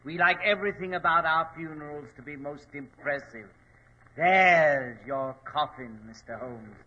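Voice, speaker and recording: A man's voice: on the high side, measured pace, pushed and bright. An actor playing a sinister, courteous mortician and henchman, politely menacing in a 1940s radio drama. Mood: oily politeness. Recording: dull, noisy 1940s radio recording.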